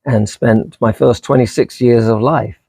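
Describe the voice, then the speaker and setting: A man's voice: medium pitch, steady tone, measured pace, vocal fry, dark tone, breathy edge, no room sound. A middle-aged man from England with a British accent tinged slightly with American, chatting casually and unscripted as he is interviewed. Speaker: vlogger being interviewed.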